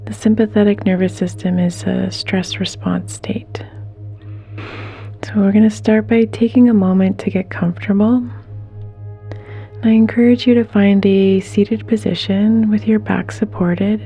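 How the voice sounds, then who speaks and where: A woman leading a guided meditation in a soft, gentle, soothing way, with unhurried pauses and some breathiness. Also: medium pitch, steady tone, soft background music.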